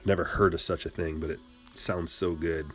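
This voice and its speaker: A man's voice: low voice, level tone, moderate pace, soft background music. A man from Michigan hosting a casual card-break livestream, joking and rambling as he chats with viewers. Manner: playful, joking, rambling banter with chat. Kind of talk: casual livestream chat while opening cards.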